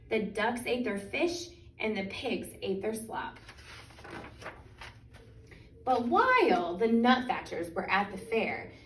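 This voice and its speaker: A woman reads a picture book aloud for children in an expressive, deliberate way, stretching out key words. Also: mid-range voice. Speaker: children's story reader. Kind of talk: animated picture-book storytime reading. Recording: echoey room, distant microphone.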